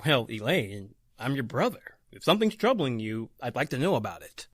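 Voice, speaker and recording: A man playing a reassuring but skeptical brother in an old-time radio horror play, calming and questioning. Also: mid-range voice, a little fast, dry recording. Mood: skeptical concern.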